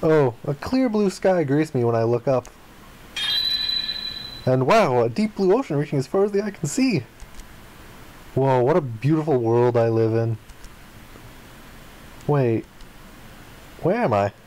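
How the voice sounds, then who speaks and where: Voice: medium pitch, lively intonation, measured pace, long pauses, mellow, a little hiss or hum, poor audio. A let's player reading a visual novel aloud expressively and slipping in casual asides.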